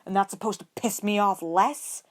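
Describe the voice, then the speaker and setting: A woman's voice: medium pitch, normal speed, voice pushed up, natural voice. Woman voicing a brash, hot-tempered, barking man. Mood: angry. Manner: barking, blunt.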